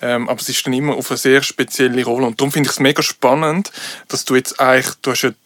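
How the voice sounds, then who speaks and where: A Swiss man with a Swiss German accent muses aloud as a podcast co-host. His pitch is in the middle range and his tone is relaxed, with frequent chuckles. The recording is dry and close-miked. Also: quick pace, no echo. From Switzerland. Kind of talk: casual conversation.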